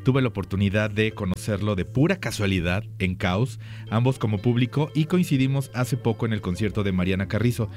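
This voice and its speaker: A man's voice: lowish voice, a little fast, darkish tone, faint music behind. A man co-hosting a radio show, reading listener messages and teasing his partner. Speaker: radio co-host. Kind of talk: casual radio chat.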